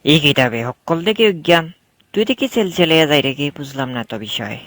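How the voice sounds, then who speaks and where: A man playing an excitable, scheming comic cartoon character with a Sylheti accent and a theatrical, exclamatory delivery. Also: on the high side, brisk. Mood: annoyed. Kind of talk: Bengali comedy cartoon voice acting. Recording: music behind the voice.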